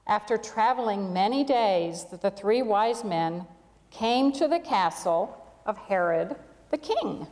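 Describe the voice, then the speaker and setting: A woman's voice: mid-range voice, expressive, takes it slowly, darkish tone. Woman reading aloud in an echoey church. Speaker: reader at a church. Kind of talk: children's storybook read aloud to a congregation. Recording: echoey hall with long reverb.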